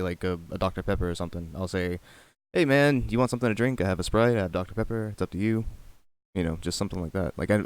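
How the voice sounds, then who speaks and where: A man's voice: low-pitched, smooth, dry recording. A man co-hosting a podcast, explaining things patiently in casual chat, often repeating his words. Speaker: podcast co-host.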